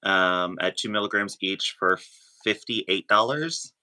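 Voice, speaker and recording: A man chatting casually as a guest, sharing his own experience in a halting way, often rephrasing himself. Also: low voice, animated, sharp tone. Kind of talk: casual chat.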